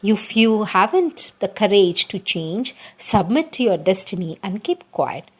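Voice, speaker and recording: A woman reading spiritual teachings aloud in a deliberate, reverent, scripted devotional style. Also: mid-range voice, big pitch swings, a little mellow, husky. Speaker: reader of spiritual texts. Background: music.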